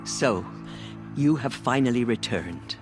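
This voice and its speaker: A solemn old priestess of a tribe in a video game. Her delivery is stately and very melodic, with music clearly behind it. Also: a bass voice, animated, slow pace, exaggerated voice. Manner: ceremonial, stately.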